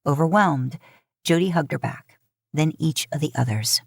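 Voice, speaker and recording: A woman narrating a story from a script in an expressive reading style. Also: sits low, moderate pace, gravelly, dry recording, studio quality. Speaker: podfic narrator, podcast host.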